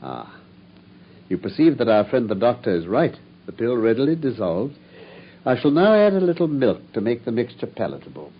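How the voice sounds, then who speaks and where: An actor playing a brilliant, self-assured English gentleman detective in a theatrical, precise mid-century radio drama style. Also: medium pitch, sing-song, moderate pace, close to an everyday voice. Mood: measured, methodical. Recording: muffled, faintly noisy old radio recording.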